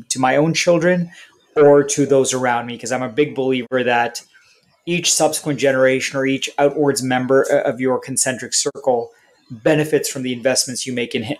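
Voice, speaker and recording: A man who grew up in India and Canada, with Hindi as his first language, giving articulate, structured answers as an interview guest. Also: mid-range voice. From India, raised in Canada. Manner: articulate, structured, lists points. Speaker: guest, software company CEO.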